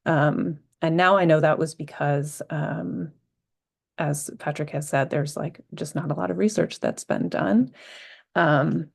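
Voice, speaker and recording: Panelist, woman from Vermont, heavy vocal fry, thoughtful and hesitant delivery with many pauses. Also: sits low. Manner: reflective, halting with many ums and restarts. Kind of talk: personal account on a panel.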